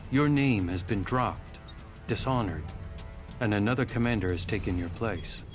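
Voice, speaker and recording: A proud, distrustful rebel soldier played by a man in a video game cutscene. The voice sits fairly low and moves in measured, formal phrases. Music plays clearly behind it. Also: close to an everyday voice. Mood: guarded, matter-of-fact. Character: wary rebel soldiers of a cold, proud army.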